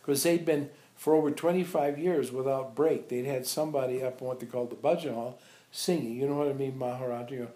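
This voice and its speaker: A man informally reminiscing to visitors as a spiritual teacher, telling animated anecdotes and acting out remembered dialogue. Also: medium pitch, brisk. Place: echoey indoor room at an ashram. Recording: roomy, echoey sound.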